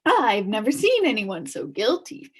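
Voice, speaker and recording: A woman's voice: on the high side, huge pitch swings, unhurried, breathy edge, exaggerated voice. A woman voicing a tiny, squat, fierce policeman in a storybook, blustering, officious and full of accusations. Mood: accusing.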